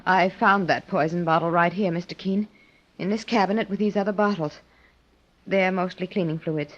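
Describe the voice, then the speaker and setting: An actress playing a concerned, earnest widowed aunt in an old-time radio mystery, speaking properly. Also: female voice, fairly low pitch, fairly even intonation, normal speed, a little hiss or hum, close to an everyday voice. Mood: earnest explaining. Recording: dull old radio recording.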